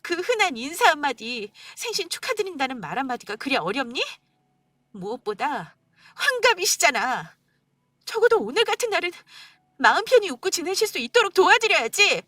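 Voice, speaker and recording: Woman voicing a wounded wife on the verge of tears, high-ish and pleading. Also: big pitch swings, moderate pace, a bit bright, no echo, studio quality. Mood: indignant. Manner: tearful, indignant, voice breaking high. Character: hurt young wife pleading and arguing back.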